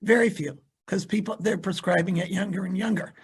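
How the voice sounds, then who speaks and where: Hoarse, very husky moderator on a call. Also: mid-range voice, fairly even intonation. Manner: clears throat often, halting. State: hoarse, losing voice. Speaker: panelist and moderator. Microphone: video call.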